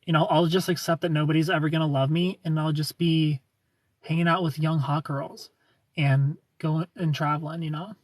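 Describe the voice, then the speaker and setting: A man's voice: a little high, level tone, normal speed, no echo. A man in his thirties hosting a casual livestream, reading viewer comments aloud, joking about himself and laughing often. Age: 30s. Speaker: livestream host.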